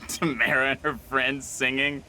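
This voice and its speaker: A man's voice: mid-range voice, little pitch movement, slow, sharp tone, slight background noise. A man playing a breezy, confident, teasing young prince in a video game cutscene, casual and jaunty.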